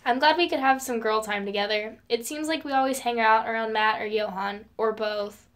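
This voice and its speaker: Woman playing a chatty romantic high school girl, highish voice, audio play. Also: moderate pace, fairly bright tone, lightly acted. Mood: relaxed. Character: chatty, romantic teenage girl, best friend. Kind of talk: amateur audio drama acting.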